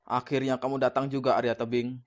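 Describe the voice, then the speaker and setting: Man acting a fast-talking, jealous vengeful prince. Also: medium pitch, level tone, natural voice. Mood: menacing. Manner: accusatory, confrontational. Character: jealous vengeful prince, accusing his brother-in-law. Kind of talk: acted dialogue in a cartoon folktale.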